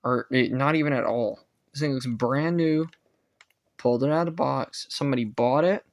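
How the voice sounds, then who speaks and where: Male hobbyist reviewer, mid-pitched with noticeable fry; unscripted, halting, casual delivery that doubles back to correct itself. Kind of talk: casual rambling gadget review.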